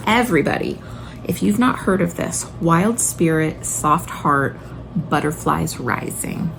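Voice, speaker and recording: A woman vlogger chats in a casual, gushing way, full of enthusiasm. Her voice sits fairly low and often drops into vocal fry. There is faint noise behind her. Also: low-quality recording. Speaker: homeschooling video blogger and mother. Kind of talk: casual chatty book recommendation vlog.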